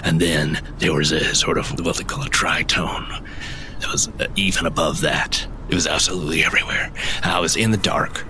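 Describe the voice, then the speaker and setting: A man playing an earnest cryptid enthusiast, telling his story in a measured, halting way in a fantasy audio drama. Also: low-pitched, noisy background, natural voice. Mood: hushed fear.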